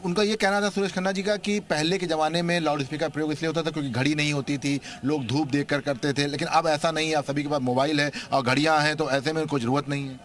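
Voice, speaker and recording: A man puts a point forward as a press question. He talks quickly, with a voice pitched a little on the high side. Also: fairly even intonation, fairly bright tone.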